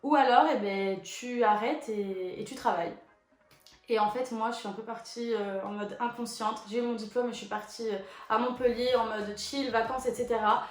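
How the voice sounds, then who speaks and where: A woman in her twenties, a photo model, chatting casually as she answers viewer questions, with lots of relaxed fillers. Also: on the high side, moderate pace. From France. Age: 20s. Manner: chatty and relaxed, with many fillers like 'du coup' and 'voilà'. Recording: echoey room with faint background music.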